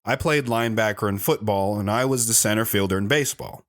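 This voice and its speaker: A man playing a calm plainspoken guest. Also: lowish voice, fast, close to an everyday voice. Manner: calm, matter-of-fact storytelling. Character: plainspoken former high-school athlete. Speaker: show guest telling his story.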